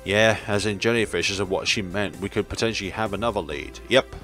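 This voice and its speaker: A man's voice: on the low side, fairly quick, fairly bright tone, soft background music. A man hosting a gaming video, giving casual commentary as he reads through a visual novel.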